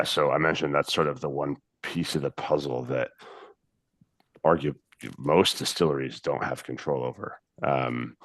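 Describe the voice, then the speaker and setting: An American man talking casually on a video call about his distillery, relaxed and halting with many fillers. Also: extremely low pitch, no room sound. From United States. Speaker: distillery representative.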